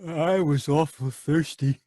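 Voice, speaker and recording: A high, slow-spoken asylum patient played by a man, childish and whining one moment and threatening the next. A radio drama character with a dry, close sound and no room echo. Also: dark tone. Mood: wheedling. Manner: whiny, pleading then menacing. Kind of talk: live radio drama reading over video call.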